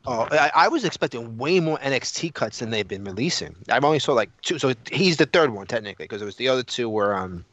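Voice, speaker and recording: A man's voice: mid-range voice, expressive, quick pace, few pauses, fairly bright tone, no room sound. A man in casual, opinionated podcast discussion, emphatic and repetitive, often throwing out rhetorical questions. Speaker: podcast co-host.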